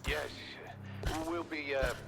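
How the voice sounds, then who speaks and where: An actor playing a gruff, steady older male mentor with a gravelly voice in video game dialogue. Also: fairly high pitch, unhurried. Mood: guarded, concerned. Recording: music playing over the voice.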